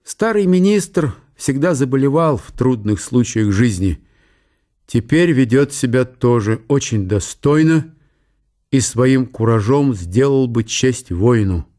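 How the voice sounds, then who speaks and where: Mellow, dark-voiced male narrator. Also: medium pitch, animated, a little slow. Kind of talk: scripted radio drama narration.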